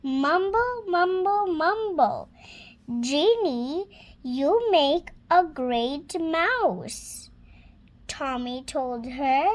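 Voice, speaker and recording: A girl of about six reading a children's storybook aloud, halting word by word, stumbling and correcting herself. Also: high voice, animated. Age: child, about 6 years old. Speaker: young reader practising reading aloud.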